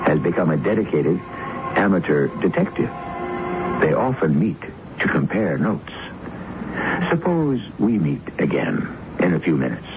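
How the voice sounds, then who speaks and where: A man's voice: low-pitched, sing-song, unhurried, pauses often, noisy background. A man hosting a radio drama with scripted narration. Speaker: host and announcer. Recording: dull old radio broadcast.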